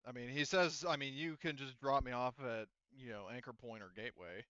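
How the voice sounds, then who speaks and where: A man speaking as a game master, explaining the story in a halting, conversational way. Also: medium pitch, sharp tone, clear, resonant tone, dry recording, dull audio. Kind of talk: improvised tabletop roleplay narration.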